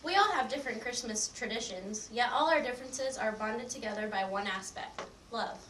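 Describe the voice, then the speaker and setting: A woman's voice: a little high, moderate pace, a bit bright. A young woman giving a scripted devotional reading in a readers theatre performance. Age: young adult. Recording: echoey room, distant mic, faint noise.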